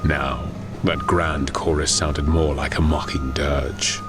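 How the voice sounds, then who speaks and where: A man giving grave, deliberate, dramatic narration of a scripted audio story. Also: very deep voice, flat delivery, background noise. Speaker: narrator and voice performer.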